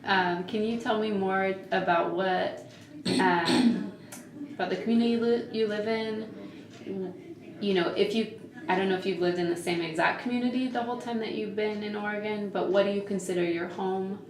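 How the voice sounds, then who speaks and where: A woman's voice: mid-range voice, fairly even intonation, moderate pace, reverberant. A woman interviewer asking loose, rambling questions with many fillers.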